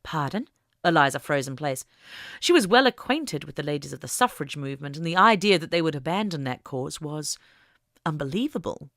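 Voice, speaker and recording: A woman's voice: low voice, expressive, brisk, fairly bright tone, dry recording, clean studio sound. A woman reading a scripted story aloud as an audiobook narrator.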